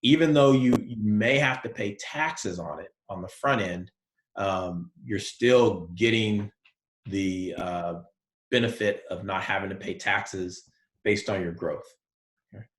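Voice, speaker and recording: Man, professor, low voice, soothing, relaxed lecture, fillers, echoey room. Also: measured pace. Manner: relaxed, soft-spoken, many fillers. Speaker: college accounting professor. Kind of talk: recorded online lecture.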